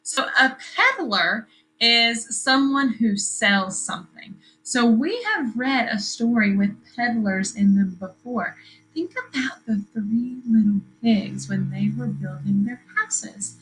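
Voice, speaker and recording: A woman teacher with a bright, fairly high voice reads to young children. She takes her time, enunciates carefully, and pauses to explain and ask questions. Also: expressive, soft background music, poor audio. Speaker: teacher reading a picture book aloud. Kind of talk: read-aloud lesson for young children.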